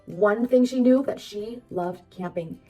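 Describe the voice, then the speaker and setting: A woman reading a children's storybook aloud as narrator, expressive and addressing young listeners. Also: mid-range voice, a little slow, far from the mic, poor audio. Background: background music.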